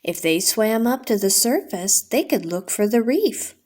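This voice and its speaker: A middle-aged woman reading a children's story aloud as a storyteller, in a deliberate, expressive way. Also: medium pitch. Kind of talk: bedtime story narration, read aloud.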